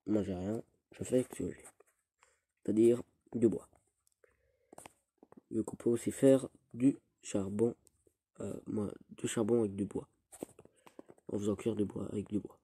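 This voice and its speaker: Teen boy with a cold, husky and self-pitying, chatting over gameplay. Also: lowish voice, fairly even intonation, warm tone. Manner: rambling, self-pitying, halting restarts. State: stuffy with a cold. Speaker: gaming youtuber. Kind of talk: casual gameplay commentary.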